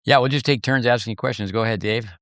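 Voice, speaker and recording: A man's voice: medium pitch, a bit bright, no echo, studio quality. A man hosting a tennis podcast, joking loosely with his guest and drawing out his questions with fillers. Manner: joking, folksy, drawn-out filler. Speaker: podcast host. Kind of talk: casual interview hosting.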